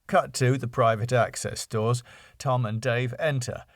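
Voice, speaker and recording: A man's voice: mid-range voice, fairly even intonation, a little slow, no room sound, studio quality. A man narrating a comedy script, reading out scene headings and stage directions. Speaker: writer and narrator.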